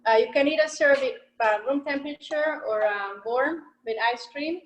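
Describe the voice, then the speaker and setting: A woman's voice: high voice, moderate pace. Hesitant woman baker, echoey room. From Hungary. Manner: hesitant, many fillers. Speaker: baker presenting her pastries. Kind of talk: casual product presentation.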